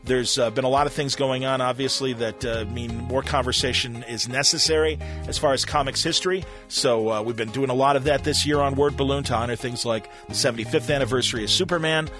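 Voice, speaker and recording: A man's voice: mid-range voice, steady tone, fairly quick, hardly any pauses, over music. A man hosting a podcast in a casual, enthusiastic and appreciative way. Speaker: podcast host. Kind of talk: casual interview and show sign-off.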